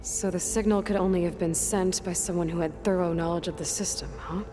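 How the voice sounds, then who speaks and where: A woman's voice: on the low side, steady tone, a little fast, close to an everyday voice. A woman playing a determined, sceptical young hero in a video game, asking questions bluntly and with hesitant breaks. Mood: probing, thoughtful. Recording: long hall reverb, game music behind. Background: music.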